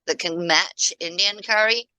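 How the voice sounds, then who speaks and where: A woman's voice: lowish voice, unhurried, bright, forceful tone, clear, resonant tone, no room sound, poor audio. A woman from Africa, chatting casually as co-host and rambling through history while pointing at a map. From South Africa. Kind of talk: casual discussion.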